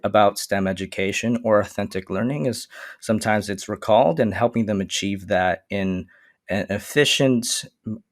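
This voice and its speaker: A man whose first language is Vietnamese, a guest on a casual podcast interview, explaining his work in an earnest, steady way. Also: fairly low pitch, dry recording, studio quality. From Michigan, USA. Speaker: guest, STEM consultant.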